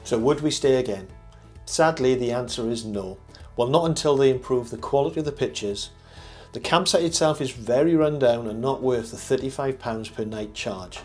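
A man's voice: mid-range voice, moderate pace, a little mellow. Older man narrating over background music. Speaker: campsite review host.

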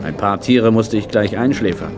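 An actor playing a jovial, soft-hearted country veterinarian in a children's radio play, in standard German. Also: male voice, lowish voice, moderate pace, music in the background, lightly acted. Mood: earnest storytelling.

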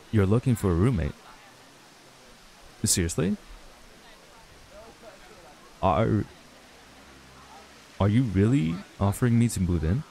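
A man acting a stressed, earnest young runaway in an intimate audio roleplay, speaking haltingly with sighs. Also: lowish voice, mellow, heavily acted. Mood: surprised, relieved. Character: stressed young man, frustrated runaway reuniting with childhood friend.

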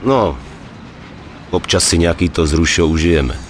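A man's voice: low-pitched, noisy background, lightly acted. A man voicing a cool, laconic mobster in a Czech video game dub. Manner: terse, matter-of-fact.

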